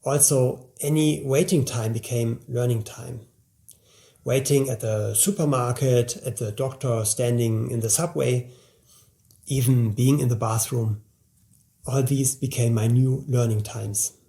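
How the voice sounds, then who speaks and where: A man's voice: medium pitch, measured pace, gravelly, dark tone. A man in his forties delivering a scripted promotional narration as a course presenter, speaking to the listener directly in a motivational way. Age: 40s.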